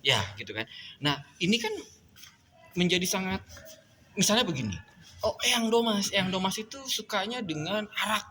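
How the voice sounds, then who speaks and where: Male panelist, halting and searching for words, slightly high voice, noisy room. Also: lively intonation, sharp tone. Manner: halting, many filler sounds, building an argument. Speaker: mime discussion panelist. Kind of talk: panel discussion.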